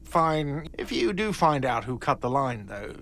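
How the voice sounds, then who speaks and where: A man's voice: medium pitch, big pitch swings, unhurried, a bit bright. An irritable innkeeper in a video game. He talks in short, grudging, businesslike lines. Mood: irritated. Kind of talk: video game character dialogue.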